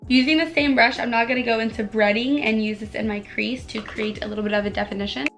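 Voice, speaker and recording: A young woman with a slightly higher voice talks fast and bubbly, her voice a little hoarse from allergies. She chats casually to her viewers, with plenty of "like" fillers as she works through her makeup. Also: fairly even intonation, faint music behind. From United States. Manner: bubbly, chatty, many "like" fillers. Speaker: beauty vlogger. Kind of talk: casual makeup tutorial chat.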